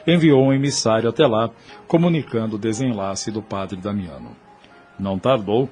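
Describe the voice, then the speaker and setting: A male narrator with a Brazilian accent reads an audio novel. His mid-pitched voice is solemn and literary in its drama, with music faintly underneath. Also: a little mellow.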